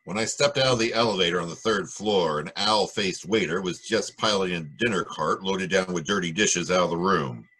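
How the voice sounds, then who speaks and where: Guy doing a cynical noir detective, lowish husky voice, wisecracks, old radio mystery style. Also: moderate pace, few pauses, bright, forceful tone, exaggerated voice, rough recording. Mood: wry narration. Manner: sardonic, wisecracking. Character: wry, cynical hard-boiled male private detective. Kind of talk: 1940s radio noir acting, narration and dialogue.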